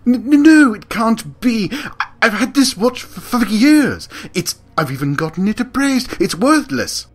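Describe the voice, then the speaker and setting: A man's voice: very high-pitched, sing-song, measured pace, breathy edge, soft background music, heavily acted. An actor playing a nervous, flustered clockmaker in an audio drama, stammering as he protests.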